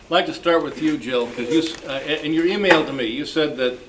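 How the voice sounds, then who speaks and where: A man's voice: a little high, brisk. A man moderating a club panel, putting questions to a guest in front of an audience. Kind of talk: hosting a live question-and-answer session. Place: meeting room with audience. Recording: noisy meeting room.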